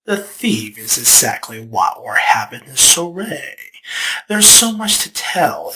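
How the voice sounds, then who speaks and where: Man playing a chaos lord, theatrical, bright tone, lively swoops. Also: a little high, a little hiss or hum, exaggerated voice, poor audio. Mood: dramatic. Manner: theatrical, showy storyteller. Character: grandiose old male draconequus lord of chaos. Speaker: fan voice actor. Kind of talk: comic fan dub acting.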